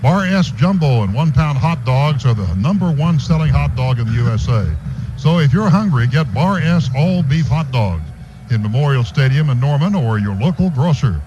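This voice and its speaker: Male radio sportscaster, live commentary, muffled lo-fi broadcast, loud background noise. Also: medium pitch, lively intonation, normal speed. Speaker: radio play-by-play announcer. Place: stadium radio booth. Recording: noisy dull radio broadcast from stadium.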